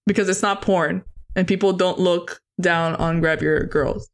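A woman co-hosting a podcast in casual chat, talking fast and peppering her speech with "like". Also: lowish voice, steady tone. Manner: chatty, heavy use of "like" as filler. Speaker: podcast co-host.

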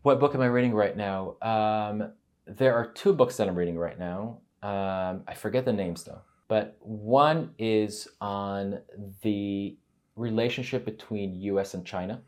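A man's voice: lowish voice, measured pace. A middle-aged man, a native Hebrew speaker from Israel, talking casually as an interview guest with many fillers and restarts.

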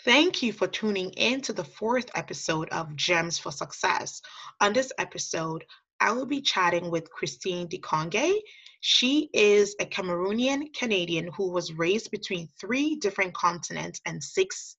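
A woman hosting a podcast, reading a formal, measured introduction and putting questions to her guest. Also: lowish voice, lively intonation, fairly bright tone, husky, muffled recording. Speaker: podcast host.

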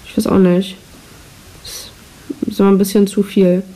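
A woman's voice: mid-range voice, little pitch movement, moderate pace, dark tone, poor audio. A teenage girl hosting her own podcast, chatting casually in a rambling, self-deprecating way, recorded on a cheap microphone with audible hiss. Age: teens. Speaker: podcast host. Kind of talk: casual solo chat.

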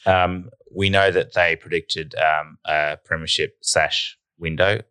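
A man co-hosting a podcast, deadpan and a bit nasal, telling a mock-serious tall tale. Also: low-pitched, slow, clear, resonant tone, no room sound. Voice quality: nasal at times. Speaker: podcast co-host. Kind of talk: casual podcast banter.

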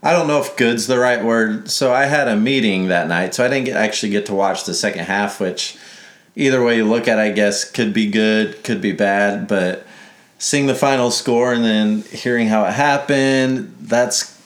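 A man's voice: on the low side, normal speed. A young man from Mississippi co-hosting a casual sports podcast, rambling and correcting himself with a playful streak. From Mississippi, United States. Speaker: podcast co-host. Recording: echoey room.

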